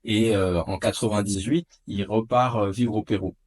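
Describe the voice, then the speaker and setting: A man in his thirties from France, a podcast guest telling stories of his youth in a casual, animated way with many hesitations. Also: moderate pace. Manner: animated, lots of filler hesitations. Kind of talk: casual storytelling. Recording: dull low-fidelity podcast audio.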